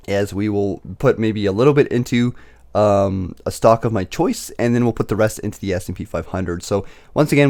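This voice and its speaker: A young man hosting a casual investing video, talking through his picks with lots of fillers and self-corrections, his voice slightly raspy as he gets over being sick. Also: fairly low pitch, a little mellow. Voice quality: slightly raspy, recovering from illness. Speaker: dividend investing channel host. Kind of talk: casual screen-share commentary.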